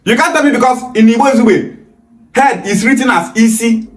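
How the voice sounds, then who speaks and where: A young Nigerian man with a Nigerian accent, talking straight to the camera with emphatic, passionate delivery and sharp rises when he pushes back. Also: extremely high pitch, a little fast, echoey room. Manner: emphatic, gestures freely, rises sharply on rebuttals. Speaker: video host. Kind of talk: passionate direct-to-camera talk. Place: small indoor room against a plain wall.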